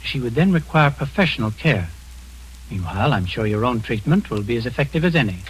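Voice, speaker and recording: An actor playing a calm, clinical doctor. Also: male voice, medium pitch, a little hiss or hum, close to an everyday voice, low-quality recording. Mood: measured. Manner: calm, measured, clinical authority. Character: composed middle-aged man posing as a doctor. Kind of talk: 1940s radio acting.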